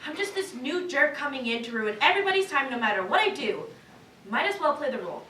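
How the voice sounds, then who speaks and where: A woman's voice: high-pitched, sing-song, fast, sharp tone, distant mic, full character voice. A young woman acting the part of a bossy, insecure new boss in a staged reading of a cartoon script. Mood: defensive. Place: live staged reading in a room. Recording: echoey room from afar, faint noise.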